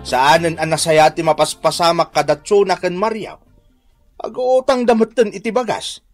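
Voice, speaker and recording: A man's voice: high-pitched, fairly even intonation, normal speed, faint music behind, close to an everyday voice. A man playing a stern, grumbling father of a grown daughter in an Ilocano-accented radio comedy drama.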